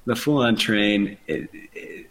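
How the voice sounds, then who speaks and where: A man's voice: fairly low pitch, slow, a bit bright, rough recording. A man in his thirties with a mildly nasal voice, co-hosting a casual chat and telling stories about himself with a laugh. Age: 30s. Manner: earnest storytelling with self-deprecating laughs. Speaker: podcast co-host.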